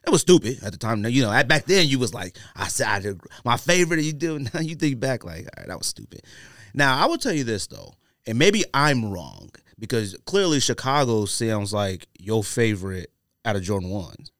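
A man chatting casually and opinionatedly on a podcast, using relaxed slang. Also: mid-range voice, normal speed, no room sound, studio quality. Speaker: podcast host. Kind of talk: casual sneaker podcast chat.

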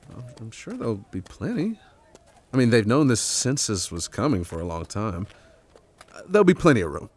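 A man's voice: on the low side, big pitch swings, moderate pace. A man acting a devoted, earnest and reassuring husband in an audio drama. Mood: wry, musing.

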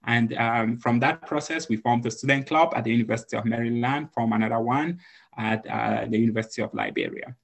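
A man's voice: mid-range voice, fairly quick, vocal fry. A man from Cameroon, a podcast guest, telling his story earnestly with many filler ums. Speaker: podcast guest, nonprofit founder. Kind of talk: conversational storytelling.

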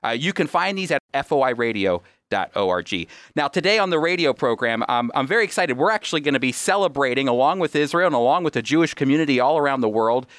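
American male radio host and teacher, mid-pitched, emphatic explainer, dry close recording. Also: animated, fast, few pauses, a bit bright, dry recording.

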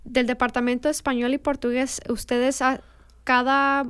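A woman's voice: sits high, flat delivery, moderate pace, a bit bright, clean tone, dry recording, clean studio sound. A woman with a Mexican accent interviewing a guest in a casual chat, often hesitating and restarting her sentences. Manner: hesitant, many restarts and fillers.

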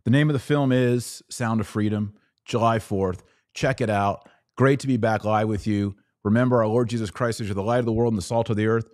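A man's voice: on the low side, steady tone, a little fast, hardly any pauses, a little mellow, no room sound, clean studio sound. An American man hosting a casual livestream, chatting informally with playful slang as he answers viewers. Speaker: podcast host.

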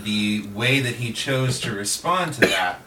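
A bright, low-pitched male voice heard off-mic in a roomy space. He moderates a relaxed group discussion, laying out his points analytically. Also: unhurried. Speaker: host and moderator. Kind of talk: casual round-table discussion. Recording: roomy, distant mic with faint noise.

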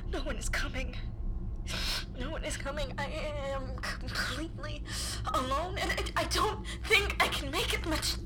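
Actress as a terrified, high-voiced stranded woman explorer. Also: heavy background noise, natural voice. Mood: despairing, hushed. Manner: halting, stammering, breathy panicked rambling. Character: terrified injured woman explorer in a spacesuit, trapped underground. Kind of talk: sci-fi horror audio drama acting, monologue. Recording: loud dramatic music bed behind the voice.

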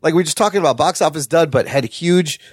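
Higher-voiced bantering man in his forties. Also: quick pace, husky, no echo, studio quality. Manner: profane, bantering. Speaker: podcast co-host. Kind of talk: casual film chat.

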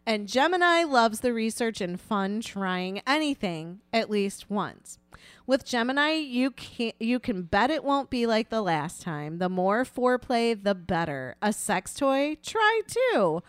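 A woman's voice: a little high, very animated, measured pace, a bit bright, smooth, no room sound. A woman co-hosting a casual podcast, chatting and reading aloud in a frank, playful, informal way. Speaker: podcast co-host.

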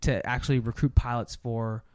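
A man's voice: medium pitch, little pitch movement, moderate pace, darkish tone, dry recording. Bantering man hosting a casual podcast, dry sound. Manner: profane, bantering. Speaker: podcast host. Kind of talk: casual chat about movies.

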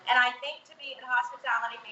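A woman's voice: high-pitched, level tone, fast. A woman hosting a chatty interview show at home, speaking in an effusive, enthusiastic way. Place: home, indoors with windows open. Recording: echoey room, muffled, faint background noise.

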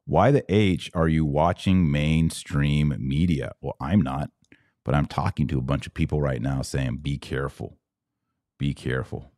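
A man's voice: rumbling low, steady tone, normal speed, a little mellow, dry recording, studio quality. A man from Texas hosting a podcast, chatting casually and answering live comments in a folksy, emphatic way, often repeating his advice. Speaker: podcast host.

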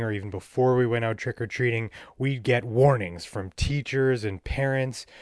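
A man's voice: mid-range voice, fairly bright tone, no room sound, studio quality. A man hosting a podcast in a casual, conversational way. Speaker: podcast host. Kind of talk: casual interview and opinion rant.

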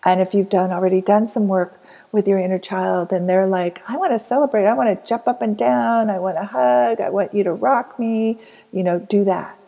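A woman leading a guided inner child healing meditation, speaking softly and slowly and often dropping to a whisper. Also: medium pitch, creaky. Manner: soft, slow and soothing, often dropping to a whisper.

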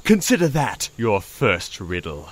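An actor voicing an enormous talking toad, dignified, cryptic and dryly witty, with formal, measured speech in an audio drama. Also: male voice, fairly low pitch, huge pitch swings, husky, exaggerated voice.

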